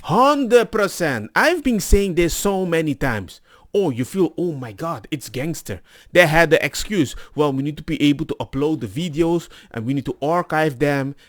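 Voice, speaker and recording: A man with a high, very animated voice gives off-the-cuff commentary in a close, dry recording. He sounds exasperated and slips into mocking imitations of his opponents as he argues. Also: normal speed, no echo. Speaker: reaction video host. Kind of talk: casual off-the-cuff reaction commentary.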